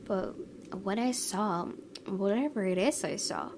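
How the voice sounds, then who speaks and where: A woman's voice: mid-range voice, animated, measured pace. A woman hosting a gaming video, reading a visual novel aloud expressively and slipping into casual asides. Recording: noisy background.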